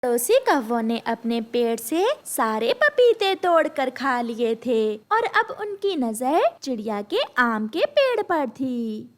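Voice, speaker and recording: Woman narrator for a kids' cartoon, slow, high, sing-song reading. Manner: slow, expressive. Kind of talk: scripted storybook narration.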